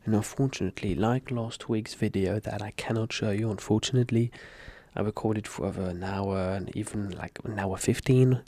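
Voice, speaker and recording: A man whose first language is French, talking softly and whispering into a close mic as an ASMR creator. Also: on the low side, moderate pace. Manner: soft-spoken, drifting into whispers. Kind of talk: soft-spoken ASMR talk.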